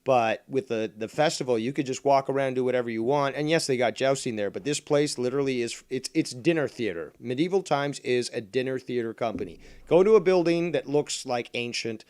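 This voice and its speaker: A man's voice: mid-range voice, fairly quick, hardly any pauses, darkish tone, clear, resonant tone, dry recording. A man hosting a casual call-in hangout, joking, swearing and rambling through long explanations. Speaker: host. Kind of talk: casual chat.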